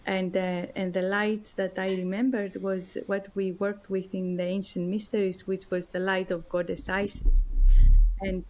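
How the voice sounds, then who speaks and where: A woman's voice: medium pitch, little pitch movement, unhurried, darkish tone, rough recording. A woman from Greece with a Greek accent, an author answering interview questions in an earnest, heartfelt way and often repeating words as she searches for them. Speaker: guest author and spiritual teacher. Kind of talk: interview answers, personal story.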